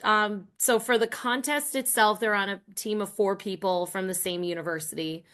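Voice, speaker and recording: A woman's voice: mid-range voice, fairly even intonation, moderate pace, a bit bright. A middle-aged American woman, a professor appearing as a guest, explaining her work conversationally. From Rhode Island and Massachusetts, United States. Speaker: guest, college professor and team coach.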